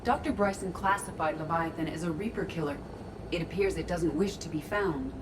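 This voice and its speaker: A woman's voice: fairly low pitch, fairly quick, noisy background, lightly acted. A woman voicing a composed ship artificial intelligence in a science fiction game, speaking with clinical precision. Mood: composed, factual. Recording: loud music behind the voice.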